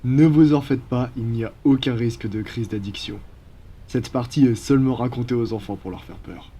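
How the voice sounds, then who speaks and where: A man plays a well-mannered vampire in an audio roleplay. The voice is mellow and breathy, with a formal, apologetic courtesy. He speaks politely and reassuringly, like a gentleman of his kind. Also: mid-range voice, normal speed, close to an everyday voice. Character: courteous, apologetic male vampire from a blood-donation foundation. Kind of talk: ASMR roleplay acting.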